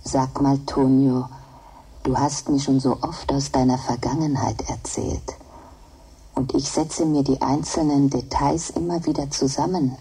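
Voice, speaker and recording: An actress playing a placid, devoted female computer in a German radio drama, speaking in a measured, deliberate way. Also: a bass voice, fairly even intonation, dark tone, breathy edge, distant mic, full character voice, poor audio. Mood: calm, probing.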